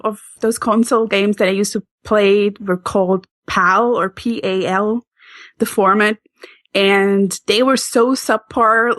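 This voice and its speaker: A woman's voice: mid-range voice, no room sound. A Swiss woman with a Swiss German accent, chatting casually as a podcast guest, laughing often and restarting sentences. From Switzerland.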